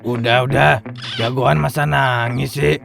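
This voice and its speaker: A man's voice: medium pitch, fairly even intonation, unhurried, creaky, a bit bright, faint music behind. A man voicing a hapless, grumbling security guard with a Jakarta Betawi accent in a comic cartoon. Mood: easygoing.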